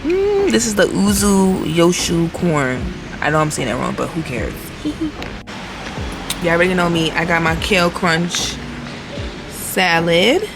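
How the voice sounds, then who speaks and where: A woman's voice: lowish voice, wildly swooping pitch, slow pace, over music, rough recording. A woman vlogger chatting casually to her viewers, slangy and talkative, with a congested voice and a sore throat. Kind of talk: casual vlog chat to camera.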